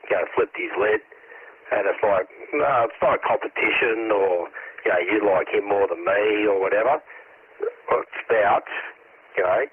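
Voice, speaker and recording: A man's voice: fairly low pitch, breathy edge. An Australian man chatting casually over CB radio in a blunt, rambling, sweary manner. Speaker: CB radio operator. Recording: muffled, noisy CB radio transmission.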